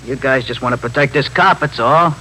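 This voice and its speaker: An American actor playing a slangy, evasive, self-pitying small-time crook in a 1950s radio drama. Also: male voice, mid-range voice, brisk, bright, forceful tone, natural voice. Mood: defiant. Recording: faintly noisy 1950s radio recording.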